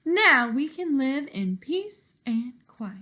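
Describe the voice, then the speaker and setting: A sweet, elderly grandmother from a children's story, played by a woman reading aloud. She speaks gently and slowly, with a sing-song lilt. The voice is bright and high. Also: sing-song, full character voice. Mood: kindly. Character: kindly old grandmother, storybook.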